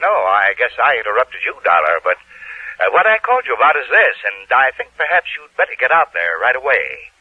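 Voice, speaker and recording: A man's voice: mid-range voice, very animated, a little fast, dry recording. Phone-filtered hesitant male boss. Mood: businesslike. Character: fussy, hesitant male insurance office boss. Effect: telephone filter. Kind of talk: old-time radio drama acting. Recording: thin telephone line, old radio recording.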